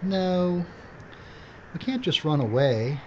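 Hero role for a man: determined, worried fugitive, animated delivery, slightly muffled audio drama sound. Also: high voice, takes it slowly, slight background noise. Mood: urgent resolve.

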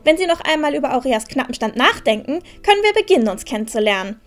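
An actress playing a proud, sharp-tongued, defiant teenage girl in a fantasy audio drama, with a pointed, haughty manner. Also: sits high, big pitch swings, quick pace, faint music behind. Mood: cool, defiant.

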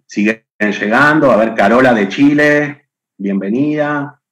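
A man's voice: medium pitch, unhurried, fairly bright tone, low-quality recording. A middle-aged man from Argentina with an Argentine accent, hosting a live webinar from a room at home over a webcam and chatting as he greets viewers by name. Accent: Argentine Spanish. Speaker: webinar host. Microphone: webcam on video call.

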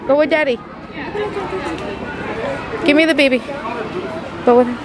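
A woman's voice: sits high, steady tone, takes it slowly, some chatter behind. A woman of about thirty chatting casually as a family vlogger and mother, informal and switching between languages. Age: around 30. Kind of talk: casual vlog chat, talking to camera and children.

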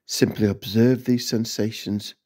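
A man narrating a scripted guided meditation in measured, instructional short phrases. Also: on the low side, steady tone, darkish tone.

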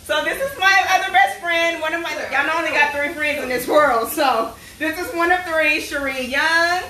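A woman's voice: high voice, slight background noise. A woman hosting her cooking show, chatting and teasing her friends on camera. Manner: lively, teasing, quick bursts. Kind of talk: casual chat with friends on camera. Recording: echoey room, far from the mic.

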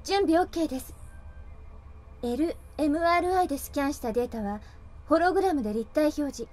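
An actress playing a calm, polite young female medical assistant in an anime audio drama, speaking formally and precisely. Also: sits high, a little fast, soft background music, natural voice. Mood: clinical, composed.

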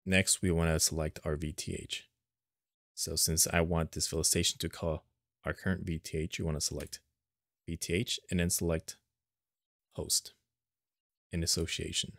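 A man narrating an unscripted step-by-step software tutorial in a plain, instructional manner, recorded as a screen voice-over. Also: rumbling low, no room sound, clean studio sound.

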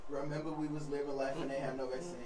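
A man's voice: a little high, level tone, rapid-fire, distant mic, over a noisy crowd. A man rapping his verses over a beat and chatting between takes. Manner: rhythmic rap delivery. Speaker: rapper. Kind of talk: rapping and casual chat. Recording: echoey room with loud music.

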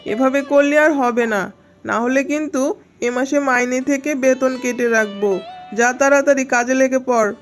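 Woman as a high-voiced, bossy tigress madam. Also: steady tone, normal speed, lightly acted. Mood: scolding. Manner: nagging, curt. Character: bossy, stingy, scolding tigress employer. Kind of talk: Bengali children's cartoon dubbing. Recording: music behind the voice.

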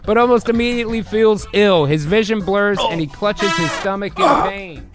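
High-voiced young man narrating, music behind. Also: lively intonation, moderate pace. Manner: sweary. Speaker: host and narrator. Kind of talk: dramatic script reading mixed with casual chat. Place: small home studio. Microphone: boom-arm studio mic and headset.

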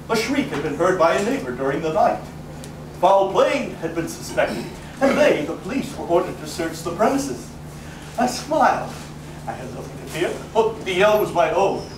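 Casting: nervy, boastful mad killer in gothic monologue; high, swooping, melodramatic delivery, heard in an echoey room. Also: male voice, recorded from a distance. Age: older. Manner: melodramatic, emphatic, deliberate phrasing with sudden swells. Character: gleeful mad murderer, male, boastful, cunning, nervy. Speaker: actor giving a dramatic reading. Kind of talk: theatrical live recitation of gothic horror monologue. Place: small brick-walled cafe room, indoors. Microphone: camera a few metres away. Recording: echoey, noisy handheld camera from audience.

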